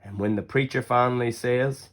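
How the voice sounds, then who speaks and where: A man's voice: mid-range voice, fairly even intonation. Man reading a children's picture book. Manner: deliberate storytelling with acted-out dialogue. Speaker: story reader. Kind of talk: expressive children's picture book read-aloud.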